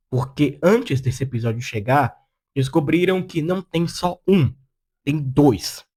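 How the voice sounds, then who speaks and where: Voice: mid-range voice, mellow. A person with a Brazilian Portuguese accent giving casual opinion commentary as a video essayist, in a blunt, slangy, sarcastic way.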